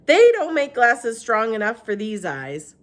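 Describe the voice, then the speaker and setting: A woman voicing a kindly, weary old woman who speaks in a gentle, folksy, plain-spoken way. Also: fairly high pitch, huge pitch swings, unhurried, pitched above the performer's own voice. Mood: kindly, knowing. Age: older.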